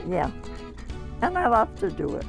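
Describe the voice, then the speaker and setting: An elderly woman in her nineties gives short, casual interview answers. Her voice is creaky and very lively in pitch, with light music behind. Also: moderate pace. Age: 90s.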